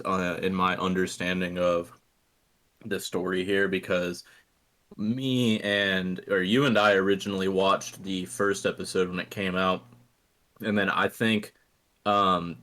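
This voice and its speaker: A man's voice: low voice, fairly bright tone. A man co-hosting a podcast in casual chat, rambling and hesitant with frequent restarts. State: coughing, throat irritated. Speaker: podcast co-host.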